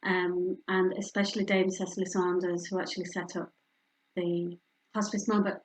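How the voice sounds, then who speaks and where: A woman's voice: fairly low pitch, flat delivery, normal speed, rough recording. Middle-aged Yorkshire woman, conversational speaker at a community event, candid and chatty with plenty of ums. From Yorkshire, England. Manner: warm, candid, personal storytelling with frequent fillers. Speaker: speaker from a hospice charity. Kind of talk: community talk with slides.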